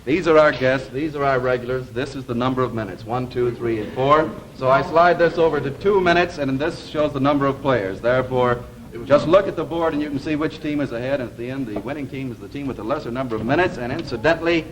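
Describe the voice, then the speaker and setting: A man's voice: mid-range voice, fast, a little hiss or hum, low-quality recording. A man hosting a live TV game show with chatty, jokey, off-the-cuff banter and a showman's delivery. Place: television studio with audience. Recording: old TV studio soundtrack, audience behind.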